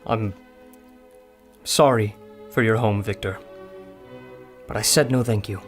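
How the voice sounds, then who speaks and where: An actor playing a principled adventurer scientist in a pulp audio drama, earnest and firm. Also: male voice, fairly low pitch, fairly even intonation, slow pace, faint music behind. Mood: firm defiance.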